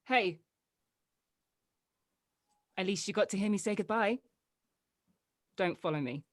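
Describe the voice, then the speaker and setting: A woman acts out a bitter, stubborn daughter in an audio drama. Her voice sits at a middle pitch for a woman and is easily exasperated, recorded close and dry. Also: takes it slowly, no room sound, lightly acted. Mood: hurt, bitter. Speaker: actor at a table read. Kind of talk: dramatic script read.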